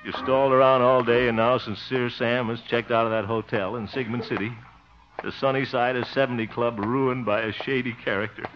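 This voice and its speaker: An actor playing a blustery, soft-hearted, theatrical man in a 1950s radio comedy. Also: medium pitch, fairly even intonation, a little fast, noisy background, natural voice. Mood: remorseful, despairing. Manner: theatrical, exclamatory. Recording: dull old radio recording, music.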